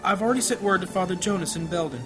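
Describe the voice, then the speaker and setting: A man acting a gentle, troubled priest and family man in a fantasy audio drama, earnest, measured and devout. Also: high-pitched, natural voice. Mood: troubled, secretive. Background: music.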